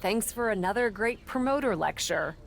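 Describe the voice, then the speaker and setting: A woman playing a cheeky, quick-witted female wrestler and acting manager in a video game cutscene. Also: medium pitch, lively intonation, creaky. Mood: flippant, amused. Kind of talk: scripted game cutscene dialogue.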